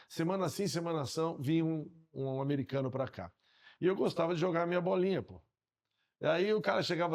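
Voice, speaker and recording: Gravelly São Paulo man telling stories. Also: on the high side. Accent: Paulistano Brazilian Portuguese. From São Paulo, Brazil. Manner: relaxed anecdotal talk with slang. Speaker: podcast host. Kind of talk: casual podcast chat.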